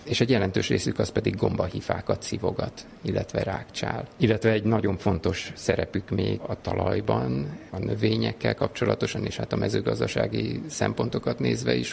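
A man's voice: lowish voice, steady tone, vocal fry, darkish tone. A man speaking as a researcher, explaining things in an interview in a laboratory, with faint noise behind him. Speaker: soil animal researcher. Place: laboratory at a microscope.

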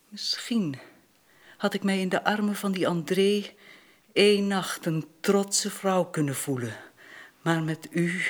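Slow-spoken, proud wounded woman. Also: lowish voice. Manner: deliberate, reproachful. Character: proud, wounded woman, bitter then resigned. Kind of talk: radio drama acting.

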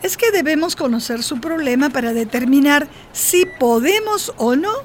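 An actress playing a kind, reassuring refugee office clerk who explains things patiently in a radio drama. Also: female voice, sits high, lively intonation, normal speed, slight background noise.

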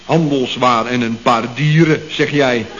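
A man's voice: medium pitch, measured pace, fairly bright tone, natural voice. An actor playing a cheeky workman who chimes in with teasing corrections in a 1960s radio comedy. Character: cheeky male workman, quick to interject. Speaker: employee, called Koen. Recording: lo-fi old radio recording with light hiss.

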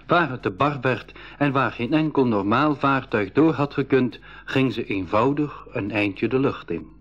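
An actor narrating an adventure in the first person as an expedition member, with measured, scripted storytelling. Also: male voice, medium pitch, fairly bright tone. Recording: dull 1980s radio recording.

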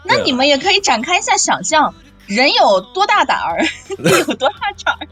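A woman's voice: high-pitched, big pitch swings, normal speed, a bit bright. A woman hosting a casual chat among voice actors, prompting her guests. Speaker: host and voice actress. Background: background music.

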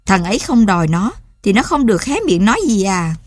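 A woman's voice: mid-range voice, lively intonation, brisk, no echo, clean studio sound, natural voice. A woman reading a storybook voices an anxious fisherman's wife who pleads with her husband in a raised, urgent voice. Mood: agitated. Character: anxious middle-aged fisherman's wife and mother.

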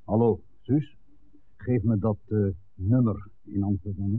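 An actor playing a curt, guarded café owner with an air of authority in a 1960s radio play. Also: male voice, lowish voice, steady tone, measured pace. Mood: reassuring, curt. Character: village café owner, guarded boss of a secret operation. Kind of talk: 1960s Dutch radio drama acting. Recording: thin narrowband vintage radio, faint noise.

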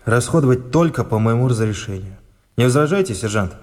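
A man's voice: medium pitch, expressive, brisk, darkish tone, lightly acted. A man playing a stern Soviet army captain and military judge in a wartime radio play, speaking with dry, curt authority. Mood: commanding.

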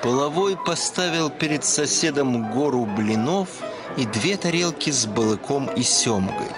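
Male radio story narrator over loud music. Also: medium pitch, expressive, unhurried. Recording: music behind the voice.

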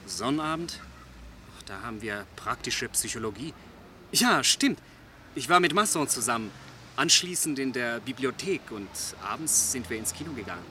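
A young student suspect in a radio drama with a bright, sharp voice. He is exasperated and sardonic, retorting with impatient irony. There is faint noise behind him. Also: medium pitch, animated, moderate pace. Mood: defensive. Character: exasperated, sardonic young male student under police suspicion. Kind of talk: German radio play acting.

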